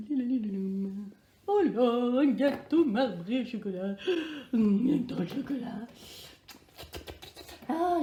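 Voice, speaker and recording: A woman playing a childish, excitable, self-pitying character who talks to themselves in slang in a playful children's sketch. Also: fairly high pitch, reverberant, dull audio. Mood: excited. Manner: exaggerated, slangy, talking to self.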